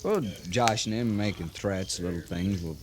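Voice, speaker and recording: An actor playing an evasive, hesitant American cavalry subordinate answering respectfully in a 1950s Western radio drama. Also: male voice, low-pitched, normal speed, lightly acted. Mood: uneasy, apologetic. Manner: hesitant, deferential. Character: deferential, evasive cavalry subordinate reporting to his captain. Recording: faintly noisy 1950s radio recording.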